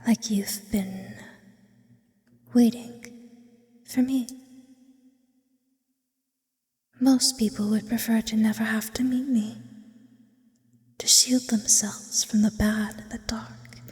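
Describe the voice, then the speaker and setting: An ancient, wistful demon played by a woman whispers in an eerie, intimate ASMR roleplay. The delivery is very slow and deliberate, with formal, theatrical phrasing. The breathy female voice sits a little high and hangs in a long reverb. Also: fairly even intonation, darkish tone. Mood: intimate, alluring. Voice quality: breathy, mostly unvoiced whisper. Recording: long reverberant hall effect.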